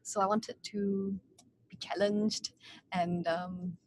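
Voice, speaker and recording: A woman's voice: medium pitch, steady tone, slow, a little mellow, a little hiss or hum. A young woman from Singapore, an MBA student on a webinar panel, talking casually with many ums and likes. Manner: hesitant, lots of ums and likes.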